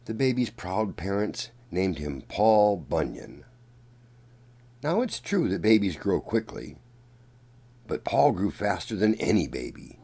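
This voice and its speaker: Male children's story narrator, unhurried and deliberate, with lively swings in pitch. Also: creaky, husky. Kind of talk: read-aloud storybook narration.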